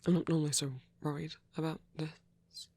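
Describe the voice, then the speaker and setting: A man voicing a gentle, protective vampire priest in an ASMR roleplay, speaking softly and breathily in halting, earnest, tender confession. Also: on the high side, level tone, dry recording. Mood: careful, earnest. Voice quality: breathy, whispery, soft. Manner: hesitant, halting, earnest delivery. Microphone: close microphone, dry sound.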